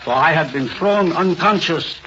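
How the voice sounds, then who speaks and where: A man playing a fearful watchmaker recounting a nightmare in an old-time radio drama, deliberate and dramatic. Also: a little high, fairly bright tone, natural voice. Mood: haunted, tense. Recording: dull, slightly noisy old radio recording.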